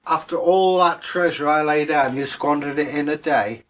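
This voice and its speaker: A man's voice: fairly high pitch, moderate pace, lightly acted. A man voicing a grumpy, dismissive male west wind in a folktale, speaking curtly. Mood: curt refusal. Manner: curt, dismissive.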